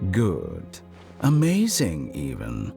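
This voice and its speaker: An actor playing a calm, arrogant scholar villain in a video game, smug, deliberate and coldly curious, with music behind. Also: male voice, low voice, vocal fry, full character voice. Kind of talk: dramatic video game voice acting.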